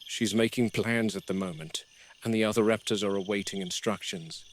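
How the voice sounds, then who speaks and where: A man playing a grim, wary game warden who narrates a found-footage horror field log in a measured, grave, deliberate manner. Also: lowish voice, fairly even intonation, close to an everyday voice. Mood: watchful, absorbed. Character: grim, wary male game warden logging predator behavior. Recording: noisy VHS-style found-footage recording.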